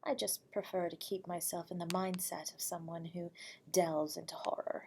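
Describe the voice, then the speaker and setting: A woman playing an eerie, nocturnal horror radio host from beyond the grave, speaking to the listener in a soft, breathy, whispery voice, courteous and teasing, with frequent chuckles. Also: lowish voice, flat delivery, moderate pace. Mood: silky, teasing. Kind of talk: audio roleplay addressing the listener.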